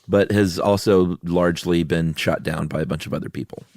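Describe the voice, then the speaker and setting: Low-voiced man in relaxed podcast chat. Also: a little fast, no room sound, clean studio sound. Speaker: podcast co-host. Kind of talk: casual conversational explaining.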